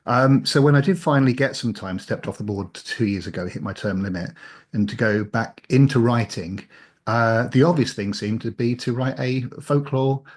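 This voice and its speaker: A man's voice: mid-range voice, moderate pace. A man chatting casually as an interview guest, hesitant and self-deprecating. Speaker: interview guest, audio drama writer.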